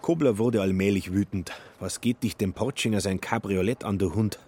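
A man with a husky voice reading literary narration in a radio play. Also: lowish voice, moderate pace, darkish tone.

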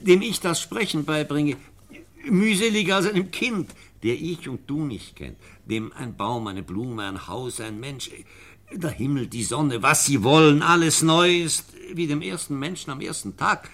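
An actor playing a devoted, idealistic professor in a radio drama, earnest and polite, halting when flustered. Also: male voice, on the high side, a bit bright. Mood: fervent, indignant. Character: devoted idealistic male schoolteacher professor, fervent, deferential, gentle. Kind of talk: German radio drama acting.

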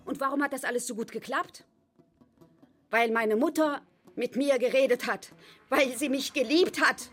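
Female, high voice: defiant, self-assured schoolteacher, radio drama monologue, faint music beneath. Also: normal speed, pauses often. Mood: indignant, proud.

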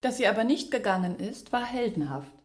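A woman's voice: mid-range voice, expressive, fairly quick, close to an everyday voice. An actress playing an earnest young woman in a literary radio drama. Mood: earnest, emphatic.

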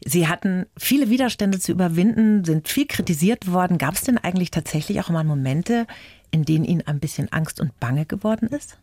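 A woman's voice: lowish voice, lively intonation, a little fast, dark tone, no echo, studio quality. A woman in her fifties hosting an interview, asking questions in a friendly, affirming way. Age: 50s. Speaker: interview host.

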